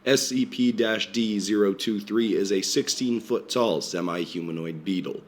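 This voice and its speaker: A man voicing a stiff, formal male research lecturer, speaking in a measured way with a voice strained by a cold. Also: fairly low pitch, natural voice.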